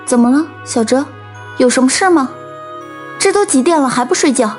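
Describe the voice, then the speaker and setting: A woman acting a hardworking, tired teenage older sister in an audio drama, gentle and earnest. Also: sits high, expressive, normal speed, faint music behind. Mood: fond, fussing.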